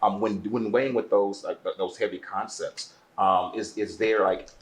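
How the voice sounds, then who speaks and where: A man working as an interviewer in a casual style, halting and repeating words as he forms his questions. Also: fairly low pitch, steady tone, rough recording.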